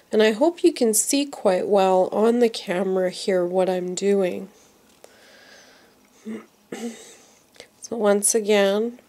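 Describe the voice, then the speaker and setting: A woman's voice: mid-range voice, darkish tone. A woman teaching knitting step by step, sounding congested from a cold and repeating each move patiently. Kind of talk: slow step-by-step craft tutorial.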